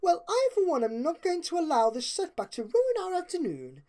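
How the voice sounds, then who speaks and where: A woman's voice: very high voice, very animated, normal speed, dark tone, pitched above the performer's own voice. A woman voicing a prim, dramatic and refined lady pony in a story reading, with a fussy, declarative manner. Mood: determined.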